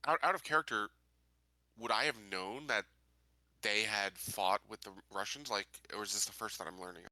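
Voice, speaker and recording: A man's voice: on the low side, normal speed, sharp tone, no echo, low-quality recording. A man playing a tabletop roleplaying game, chatting casually as he asks about the story.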